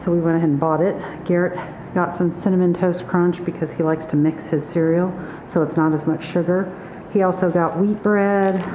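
A middle-aged woman with a lowish voice talks casually through a haul for her vlog. She is plain-spoken and itemizes as she goes. The sound is roomy and echoey, with clear background noise. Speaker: vlogger. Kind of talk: casual grocery haul narration. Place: hard-surfaced kitchen indoors. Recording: echoey, muffled, noisy room recording.

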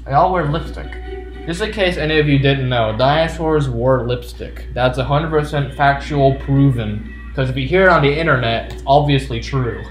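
Male gaming host, mid-pitched, playful and off-the-cuff, roomy sound with music underneath. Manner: playful, jokey, talking to game characters. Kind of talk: casual gameplay commentary. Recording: roomy echo with game music behind. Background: video game music.

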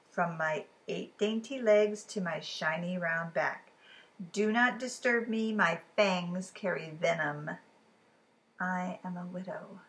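A woman in her fifties reading riddle poems aloud for a children's storytime, expressive and deliberate, with chatty asides to the listeners. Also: mid-range voice. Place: living room at home, indoors. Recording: clearly noisy room recording.

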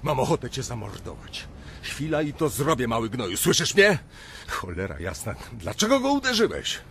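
A man playing a gruff, foul-mouthed middle-aged banker in a radio drama, blunt and confrontational. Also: medium pitch, wildly swooping pitch, moderate pace, fairly bright tone, slight background noise, full character voice. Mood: furious, threatening.

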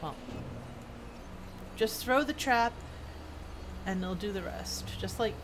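A woman's voice: mid-range voice, expressive. Musing woman streamer over noisy game sound. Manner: musing, halting, talking to herself. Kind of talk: casual gameplay commentary, reading quest text aloud. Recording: clear game ambience and noise. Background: video game ambience.

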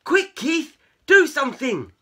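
A woman voicing excitable, teasing cats in a storybook read-aloud, squealing and exclaiming. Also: sits high, slow, pitched above the performer's own voice, exaggerated voice. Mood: panicked. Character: crowd of excitable, teasing, loud cartoonish cats.

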